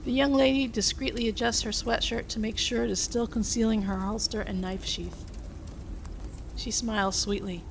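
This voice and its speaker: A woman's voice: fairly high pitch, steady tone, moderate pace, gravelly, slight background noise, poor audio. A woman giving scripted narration, reading out stage directions between lines of dialogue.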